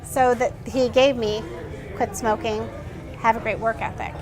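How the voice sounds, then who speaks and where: Earnest high-voiced woman interviewer. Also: a little slow, a crowd in the background. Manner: earnest, animated, many restarts. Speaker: interviewer and blogger. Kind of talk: casual conversational interview.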